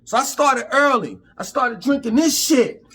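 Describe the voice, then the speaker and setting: Fifty-something guy preaching straight to cam, raspy voice, swears a lot. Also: very high voice, expressive, a little slow, sharp tone. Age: 50s. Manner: emphatic, profane, preachy street talk. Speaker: vlogger. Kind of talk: unscripted rant to camera.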